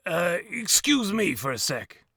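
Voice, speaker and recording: A man's voice: on the high side, pushed and bright, breathy edge, dry recording, studio quality, heavily acted. A man playing a folksy, hesitant old diner owner in an audio drama. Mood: nervous pleading.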